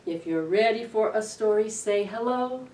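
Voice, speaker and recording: A middle-aged woman hosting a children's storytime, reading aloud in an expressive, deliberate way to young listeners. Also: medium pitch. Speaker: library storytime host. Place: indoor library children's room. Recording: roomy echo, distant camera microphone.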